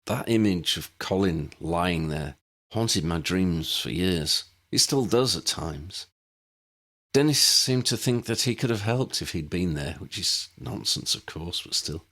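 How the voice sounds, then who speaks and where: A man voicing an earnest grown man in an audiobook, telling his story in a halting, reflective way. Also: low-pitched, animated, bright, forceful tone, exaggerated voice. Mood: sombre, reflective. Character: earnest grown man recalling a lost school friend.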